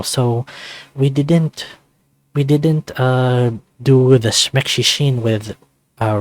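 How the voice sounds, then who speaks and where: A man's voice: mid-range voice, dry recording. A man doing a casual let's-play of a visual novel, reading and commenting as he goes.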